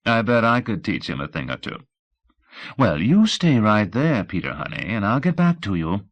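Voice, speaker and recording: A man's voice: on the low side, wildly swooping pitch, moderate pace, highs cut off, heavily acted. A man voicing a brassy, scheming woman in an audiobook, with a breezy, flirty, slangy way of talking. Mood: flirtatious.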